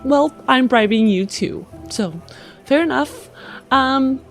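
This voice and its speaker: A woman's voice: high voice, slow, soft background music. A woman playing a tabletop roleplaying game, improvising lines in character.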